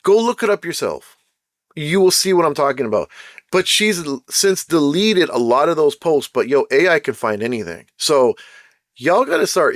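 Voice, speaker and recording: Host, man, casual blunt rant, slightly high voice, hoarse and fading, dry close sound. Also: fairly bright tone, dry recording. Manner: blunt, emphatic, repeats points. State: losing his voice, throat-clearing. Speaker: channel host. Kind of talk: casual ranting commentary.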